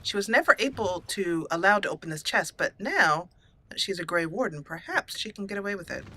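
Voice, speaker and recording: A woman hosting a video game let's play, telling her character's story in a halting, musing way as she improvises. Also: on the low side, expressive, pushed and bright, a little hiss or hum, poor audio. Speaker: gaming channel host.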